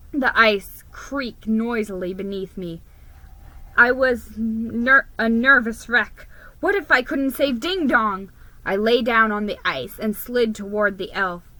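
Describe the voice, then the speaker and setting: A woman giving a dramatic, expressive reading of a children's story, stumbling over the odd word. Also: fairly high pitch, dry recording, rough recording. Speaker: storybook reader.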